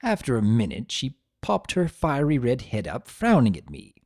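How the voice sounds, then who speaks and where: A narrator giving a theatrical, expressive dramatic reading of scripted fiction. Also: mid-range voice, normal speed, no room sound, studio quality.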